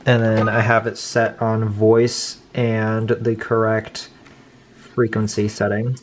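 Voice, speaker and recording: A man's voice: on the low side, monotone, slow. A young man casually hosting an unboxing video, informal and prone to swearing. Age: young adult. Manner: informal, crude-joking, swearing. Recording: noisy room recording with clicks.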